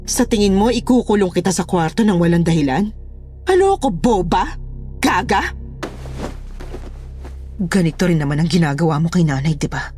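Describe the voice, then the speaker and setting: A woman's voice: on the low side, big pitch swings, normal speed, long pauses, mellow, faint music behind. A woman playing an unhinged, menacing daughter in a horror audio drama, theatrically swinging between eerie sweetness and rage. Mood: taunting, menacing. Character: unhinged, menacing adult daughter taunting her father.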